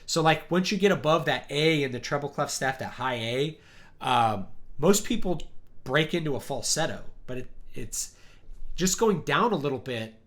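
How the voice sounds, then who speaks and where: A man hosting a casual chat, talking in a rambling, animated way and acting out quotes, his deep voice worn because he is sick. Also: moderate pace. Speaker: livestream host. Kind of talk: casual chat about music.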